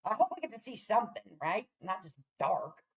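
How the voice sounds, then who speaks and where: A woman's voice: medium pitch, sing-song, brisk, breathy edge. A woman hosting a casual livestream, chatting to viewers in a brash, profane way. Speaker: livestream host.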